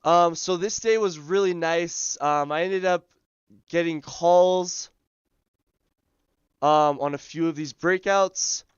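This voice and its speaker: A man hosting a live day-trading stream, his voice scratchy as he loses it, talking through charts in a relaxed way full of ums and uhs. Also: a little high, takes it slowly, pauses often, smooth, dry recording, lo-fi sound. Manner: relaxed, filler-heavy, thinking aloud. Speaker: day trading livestream host. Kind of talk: live market commentary.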